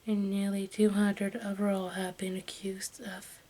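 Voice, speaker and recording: A woman reading aloud in a breathy whisper for ASMR, haltingly and with small stumbles over the words. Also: mid-range voice. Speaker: host of an ASMR channel. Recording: steady background noise, room ambience.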